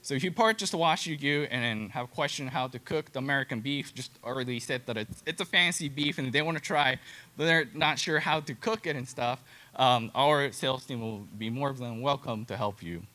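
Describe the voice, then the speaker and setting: A man with a Japanese accent speaks in a bright, forward voice at a middling pitch. He gives an informal slide presentation as a specialist, in simple, halting non-native English. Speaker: cattle genetics specialist, presenter.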